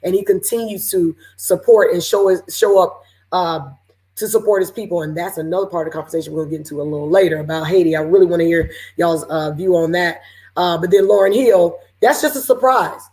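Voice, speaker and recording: A woman from Seattle with a raspy voice, hosting a casual panel chat over a video call with lots of fillers. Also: fairly low pitch, fairly quick, rough recording. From Seattle, Washington. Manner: relaxed, chatty, lots of fillers. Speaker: panel host.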